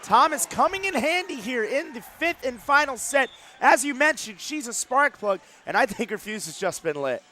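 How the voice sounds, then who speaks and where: A man's voice: very high voice, very animated, moderate pace, hardly any pauses, bright, forceful tone, slight background noise. A man giving emphatic color commentary on a live volleyball match. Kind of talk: live sports analysis.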